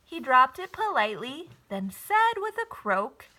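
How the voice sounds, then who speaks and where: A woman narrating a children's story expressively, with a deliberate, rhythmic rhyming delivery. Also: high-pitched, big pitch swings, sharp tone. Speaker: storybook reader.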